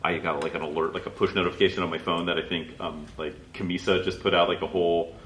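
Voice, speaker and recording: A man's voice: low voice, little pitch movement, fast talker, fairly bright tone, a little hiss or hum. A man co-hosting a podcast, talking casually with lots of fillers and restarts. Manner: rambling, many fillers and restarts. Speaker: podcast co-host. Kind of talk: casual chat. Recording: roomy echo.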